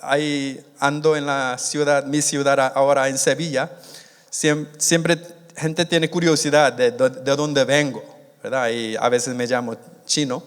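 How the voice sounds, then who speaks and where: A man born in Korea and raised in the United States, preaching a sermon in a halting way, with many restarts, and stretching out the key words. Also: medium pitch, fairly even intonation, clean tone. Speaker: missionary preacher. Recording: long hall reverb.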